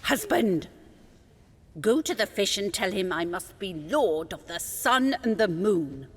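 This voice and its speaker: A woman's voice: medium pitch, sing-song, unhurried, breathy edge. An actress playing a greedy, imperious fisherman's wife in a fairy tale audio drama, haughty and commanding. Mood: demanding. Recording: long hall reverb, music behind.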